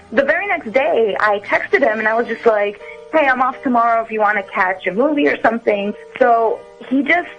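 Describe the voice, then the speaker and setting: Young woman caller on a muffled phone, casual n hesitant, faint music behind. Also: medium pitch. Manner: hesitant, many "like" and "you know". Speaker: caller to radio show. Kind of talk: casual personal storytelling. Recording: dull phone line into radio.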